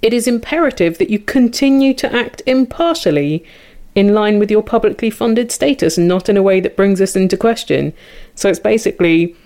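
A woman's voice: mid-range voice, moderate pace, dark tone. A woman chatting satirically as a comedy panellist, with a wry, informal manner.

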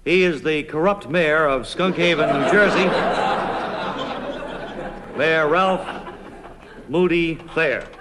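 A man playing an earnest, respectful radio news interviewer, asking measured, polite questions in a deadpan comedy parody. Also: fairly high pitch. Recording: noisy, reverberant old radio recording.

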